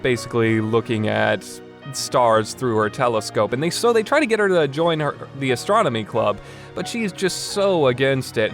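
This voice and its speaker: Man reviewing a game over music. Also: mid-range voice. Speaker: podcast host and reviewer. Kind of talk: casual opinionated game review. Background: background music.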